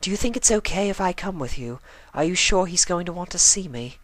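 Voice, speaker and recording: Genteel cartoon unicorn lady, voiced by a man. High, earnest, anxious, a touch dramatic. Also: lively intonation, fairly quick, fairly bright tone, dry recording. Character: refined, dramatic female unicorn pony, anxious and remorseful. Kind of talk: fan fiction reading of a cartoon.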